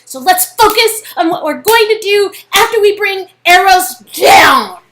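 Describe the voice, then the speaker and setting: A woman's voice: extremely high pitch, lively intonation, a little slow, a bit bright, pitched above the performer's own voice, exaggerated voice. A woman playing a peppy, bossy young optimist with an exuberant, emphatic delivery. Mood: rallying.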